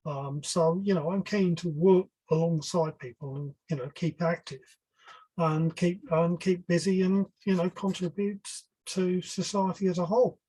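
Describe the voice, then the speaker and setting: Husky older man, informal speaker. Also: sits high, steady tone, normal speed, warm tone, poor audio. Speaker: guest speaker, loudspeaker engineer. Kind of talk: informal webinar talk. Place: online video call.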